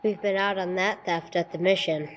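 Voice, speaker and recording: A woman's voice: lowish voice, fairly even intonation, moderate pace, a little hiss or hum, natural voice, poor audio. A woman playing a male police sergeant in an amateur radio play, terse and matter-of-fact as he narrates and questions. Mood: businesslike, probing. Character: male police sergeant, businesslike, narrating his own case. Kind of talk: 1950s police radio drama acting, amateur church production.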